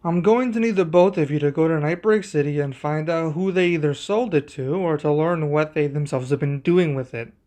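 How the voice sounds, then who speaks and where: Man playing a composed, higher-voiced young king. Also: moderate pace, few pauses, darkish tone, natural voice. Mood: businesslike. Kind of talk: ASMR roleplay acting.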